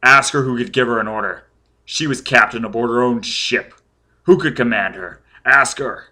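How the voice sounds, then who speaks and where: A man's voice: medium pitch, unhurried, bright, forceful tone. A man playing a driven, intense, demanding adult man in a dramatic audiobook story. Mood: enraged, demanding. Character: driven, raging adult man hunting for answers.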